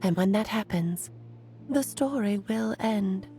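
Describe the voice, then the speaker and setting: A woman playing a whimsical, affectionate magician in a video game, with a theatrical, musing delivery and drawn-out phrasing. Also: medium pitch, gravelly, dark tone. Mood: musing, gently regretful. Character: whimsical, affectionate female magician and old friend. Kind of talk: dramatic video game voice acting. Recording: music under the voice.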